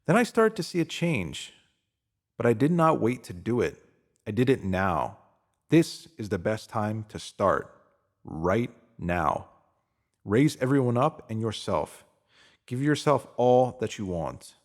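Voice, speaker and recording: A man's voice: lowish voice, big pitch swings, slow. A man narrating a scripted self-help piece, reading it out as a motivational message.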